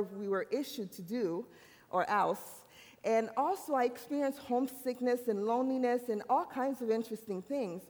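A woman from Haiti giving a personal faith testimony at a university worship service, earnest and halting, often restarting her sentences. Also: a little high, darkish tone. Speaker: worship speaker. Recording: long hall reverb.

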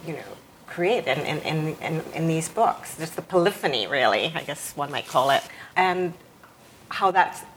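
A woman with a low-pitched voice conducts a literary interview. She circles toward long questions in a tentative, self-deprecating way. Some noise sits behind her on the live recording. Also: expressive, moderate pace, low-quality recording. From West Indies. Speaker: interviewer, fellow novelist.

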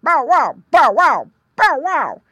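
A woman voicing a big, kind-hearted farm dog that barks loud commands. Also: high-pitched, sing-song, measured pace, no echo, studio quality, pitched above the performer's own voice, exaggerated voice. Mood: loud barking.

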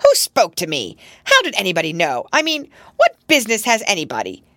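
A woman plays a grand young lady nurse from a storybook. She is haughty and easily outraged, and her sharp, imperious speech swoops widely in a close, dry sound. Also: medium pitch, huge pitch swings, brisk, dry recording. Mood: startled anger. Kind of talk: storybook character voice.

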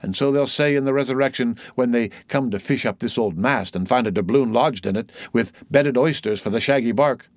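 A man's voice: mid-range voice, a little fast, few pauses, no room sound, close to an everyday voice. A man voicing a jocular old sailor who mutters exclamatory asides to himself in a theatrical storybook reading. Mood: wry.

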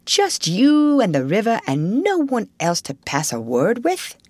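A woman's voice: medium pitch, wildly swooping pitch, measured pace, darkish tone, no echo, pitched above the performer's own voice. A woman voicing a shy, polite, curious male mole in a storybook, speaking in a timid, questioning way. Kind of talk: storybook character reading.

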